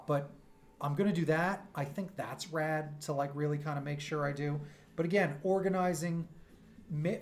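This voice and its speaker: A man's voice: on the high side, a little hiss or hum. A man in his thirties with a New York accent, chatting casually and animatedly while livestreaming his art from home. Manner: animated, self-deprecating, loose and chatty. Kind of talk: casual rambling livestream chat. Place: home streaming room. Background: soft synth music.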